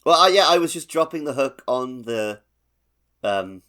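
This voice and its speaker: A man's voice: medium pitch, lively intonation, normal speed, fairly bright tone. A man hosting a podcast, chatting casually about a video game. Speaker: podcast host.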